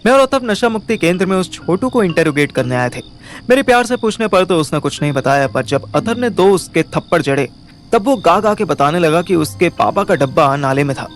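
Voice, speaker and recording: A man's voice: fairly high pitch, expressive, a little fast, soft background music, lightly acted. A man playing a wisecracking, haggling young amateur detective who narrates an audio story with slangy, sarcastic banter. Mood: storytelling. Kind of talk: first-person audio story narration with acted dialogue.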